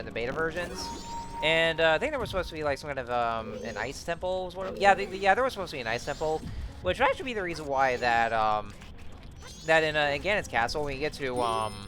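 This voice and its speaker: Let's-play host, a man: casual, rambling and chatty, a bit scratchy, with game music playing clearly underneath. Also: mid-range voice. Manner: rambling, many fillers and restarts. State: slightly scratchy voice. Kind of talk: casual gameplay commentary.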